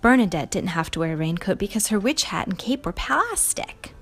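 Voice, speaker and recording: A woman's voice: mid-range voice, sing-song, a little fast, soft background music. A woman hosting a read-aloud, telling a storybook to young children with emphatic expression. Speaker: storybook reader and show host.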